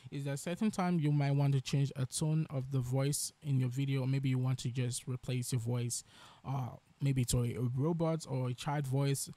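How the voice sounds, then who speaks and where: Mellow male tutorial host, dry close sound. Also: medium pitch, dry recording. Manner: explanatory, step-by-step. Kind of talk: software tutorial narration.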